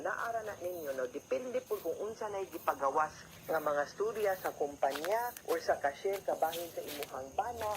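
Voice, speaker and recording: A woman's voice: lowish voice, noisy background. A woman with a Cebuano Filipino accent gives conversational legal advice as a radio host, explaining step by step and often adding tag questions.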